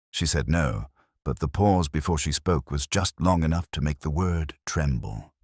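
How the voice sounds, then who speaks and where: A man voicing a reflective police inspector who narrates his case in the first person, measured and literary. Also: rumbling low, no room sound, studio quality, exaggerated voice. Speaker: detective narrator. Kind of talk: scripted first-person radio-drama narration.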